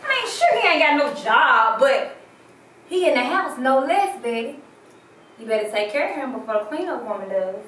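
A woman's voice: high-pitched, big pitch swings, normal speed, fairly bright tone. Woman acting a sassy flirt, echoey room. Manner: sassy, teasing. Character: flirtatious, meddling, sassy female family friend. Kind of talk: amateur stage drama dialogue. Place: small living room, far camera. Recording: echoey room, distant mic, hiss.

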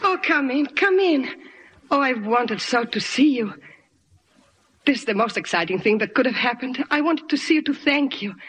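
A woman's voice: high-pitched, animated, normal speed, fairly bright tone, husky, exaggerated voice. A woman playing an earnest, grateful young aspiring actress in an old-time radio drama, eager in manner. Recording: reverberant vintage radio recording.

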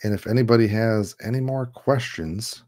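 A man in his thirties hosts a casual online chat from a room at home, talking through a headset. His voice sits a little low, and he keeps the talk loose and jokey, laughing often. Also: moderate pace, a little mellow. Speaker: livestream host. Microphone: headset on a video call.

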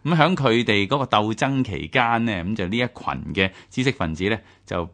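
Low-pitched Hong Kong Cantonese male host. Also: lively intonation, moderate pace, fairly bright tone. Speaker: radio host. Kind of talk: conversational history discussion.

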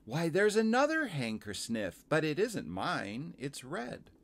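Curious little girl voiced by a man, high pitched, inquisitive, direct, animated storybook character. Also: takes it slowly, heavily acted. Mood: delighted recognition. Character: curious little girl searching for lost handkerchiefs.

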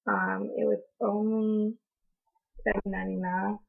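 A young woman from North Carolina, hoarse from allergies, chatting casually in a haul video with lots of ums and apologies, recorded on a close webcam in a small room. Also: medium pitch, flat delivery, measured pace. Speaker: beauty vlogger. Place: small indoor room, in front of a door. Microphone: webcam at close range. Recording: tinny, phone-like sound.

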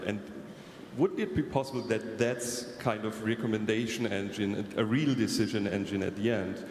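Man, low, gravelly, moderator, echoey hall. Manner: halting, searching for words. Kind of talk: conference panel moderation. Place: large conference hall. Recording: echoey conference hall, faint noise.

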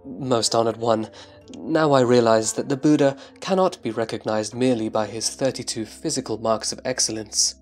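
Female actor as reverent disciple addressing a master, extremely low pitch, measured delivery, scripture drama, background music. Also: darkish tone. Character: Subhuti, respectful disciple questioning the Buddha. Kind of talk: audio drama scripture reading.

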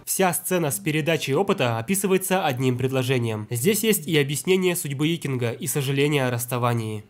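A man's voice: mid-range voice, lively intonation, a little fast, soft background music. A man delivering scripted, analytical narration as a video essayist.

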